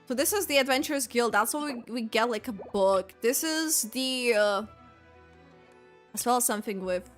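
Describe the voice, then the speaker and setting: A woman's voice: on the high side, moderate pace, soft background music. A woman streaming a video game, giving casual reaction commentary in a rambling way, often trailing off mid-thought.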